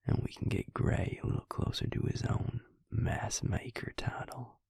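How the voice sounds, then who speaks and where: A man playing a devious, smug vampire recruiter in an intimate audio roleplay, speaking in a husky, breathy half-whisper with a slow, sinister drawl. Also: a little mellow, dry recording, studio quality, lightly acted. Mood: probing, scheming. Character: devious male vampire recruiter, smug, coolly menacing, manipulative. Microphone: close, dry microphone.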